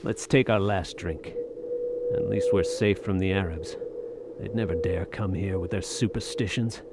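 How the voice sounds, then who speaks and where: Weary, low-voiced adventurer in desert radio drama. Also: male voice, normal speed, very noisy. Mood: resigned. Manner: folksy old-fashioned adventurer's slang, grim resignation. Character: weary, hard-bitten Western soldier of fortune, lost in desert. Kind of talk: audio drama acting.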